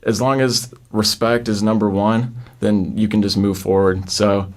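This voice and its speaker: Young male podcast guest, gravelly and flat, plain-spoken and casual. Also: fairly low pitch, unhurried. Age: young adult. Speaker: college soccer player, guest.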